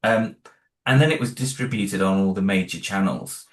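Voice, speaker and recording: Low-voiced British man in an echoey room. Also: normal speed. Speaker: interview guest, independent audio drama creator. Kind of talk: casual interview chat. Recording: roomy, echoing room sound.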